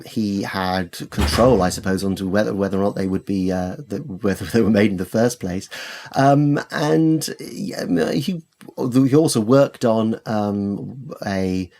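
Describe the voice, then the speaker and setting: A man's voice: on the low side, darkish tone. A man speaking as an expert interview guest, explaining things enthusiastically with hesitations and emphatic repetitions. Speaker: literary expert guest, author and editor. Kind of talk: conversational interview.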